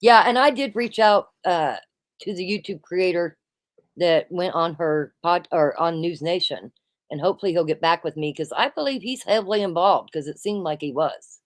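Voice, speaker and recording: A woman hosting a casual chat, loud-voiced and emphatic, who keeps saying "absolutely" and "listen.". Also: on the low side, normal speed. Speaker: host.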